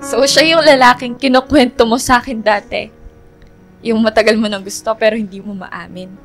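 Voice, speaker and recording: A woman's voice: fairly high pitch, steady tone, moderate pace, soft background music, natural voice. A woman playing a wounded young woman in a radio drama, guarded and accusing toward a friend. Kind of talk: Filipino radio drama acting.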